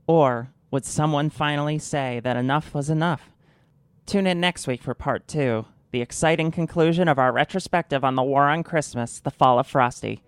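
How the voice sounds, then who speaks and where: A woman's voice: sits low, clear, resonant tone, no echo. A woman narrating in a dramatic old-time serial radio style.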